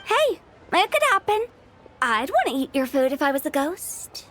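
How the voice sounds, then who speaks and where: Playful teenage prankster: very high, eager, theatrical, lively game dialogue. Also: female voice, moderate pace. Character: mischievous, imaginative, playful teenage girl. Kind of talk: animated game character acting. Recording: music playing behind.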